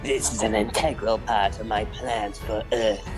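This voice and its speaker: A man's voice: on the low side, steady tone, measured pace, over music, lightly acted. A man voicing a cold, scheming robot villain commander with a formal, imperious delivery in a comic dub. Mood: scheming, commanding.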